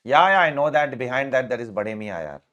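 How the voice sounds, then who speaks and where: A man's voice: medium pitch, animated, a little fast, a bit bright, muffled recording. A man from Mumbai with an Indian English accent, a tax lecturer chatting casually and explaining terms step by step with friendly asides.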